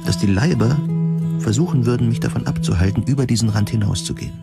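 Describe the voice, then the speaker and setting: A man's voice: mid-range voice, quick pace, gravelly. A man reading a scripted first-person narration of a dystopian science fiction story. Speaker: audio drama narrator. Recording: music playing behind the voice. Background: plucked guitar music.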